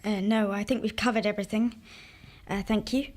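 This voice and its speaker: An actress plays a reserved woman journalist interviewing a prisoner in a horror podcast drama. Her voice sits at a medium pitch, and she keeps her questions short, hesitant and careful. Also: steady tone, a little fast, a little mellow, natural voice. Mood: uneasy, polite. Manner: hesitant, terse, probing.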